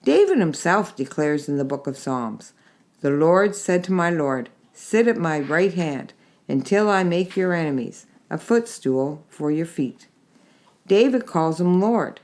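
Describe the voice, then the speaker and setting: A woman reading a Bible chapter aloud in a measured, steady way with gentle emphasis. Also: on the low side. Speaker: scripture reader.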